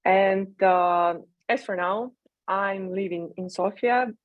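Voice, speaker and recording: Slow Russian woman on dull call audio. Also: mid-range voice, no echo. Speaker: conference speaker, dog trainer. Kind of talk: prepared slide presentation. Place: video call with screen sharing.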